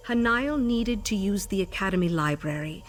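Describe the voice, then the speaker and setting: A woman's voice: medium pitch, lively intonation, normal speed, a little hiss or hum, lightly acted. An actress playing a stern, scholarly female elven sage in a video game, speaking formally and imperiously. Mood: formal, explanatory. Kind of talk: video game character dialogue.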